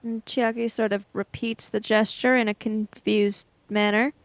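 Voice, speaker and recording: Slow, creaky-voiced woman at a game. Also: a little high, flat delivery, no room sound. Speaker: role-playing game player. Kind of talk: casual tabletop game narration.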